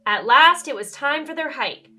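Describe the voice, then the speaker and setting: Animated high-voiced woman reading a storybook. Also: normal speed, faint music behind. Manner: dramatic emphasis, asks the listener questions. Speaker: children's read-aloud storyteller. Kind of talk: expressive storybook narration for young children.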